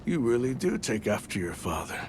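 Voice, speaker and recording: An actor playing a solemn older guardian elder in a video game cutscene, speaking in a measured, formal manner. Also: male voice, medium pitch, big pitch swings, noisy background, close to an everyday voice. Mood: calm, earnest.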